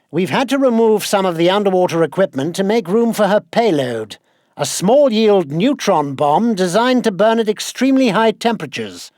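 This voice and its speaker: Casting: proud British gadget quartermaster, high animated voice, formal and wry, video game briefings, dry recording. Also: male voice, dry recording.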